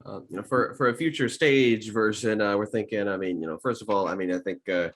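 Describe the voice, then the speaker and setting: A man with a fairly low voice chats on a casual panel. He speaks haltingly and circles back over his words before finishing a thought.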